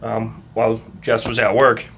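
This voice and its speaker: A young man with a muddled Massachusetts accent, talking in a calm, rhythmic way and rambling casually with lots of ums and uhs. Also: lowish voice, normal speed. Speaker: vlogger. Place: at work.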